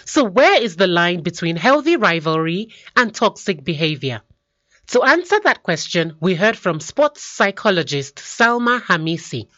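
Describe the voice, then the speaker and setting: Female news presenter, lively and well-paced delivery, dry close sound. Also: on the low side, a little slow, no echo, highs cut off.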